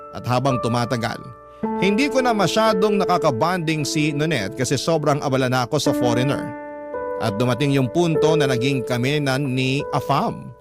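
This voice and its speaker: A man narrating a radio drama, reading out a listener's love letter in the first person. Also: fairly high pitch. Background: loud background music.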